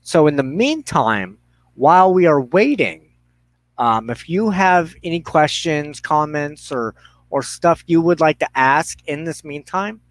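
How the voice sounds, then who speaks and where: A man's voice: fairly high pitch, lively intonation, unhurried, no room sound. Casual man hosting a dry-sounding livestream. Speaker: livestream host of a spiritual ministry show. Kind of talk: casual live chat to viewers. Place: inside a trailer. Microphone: headset.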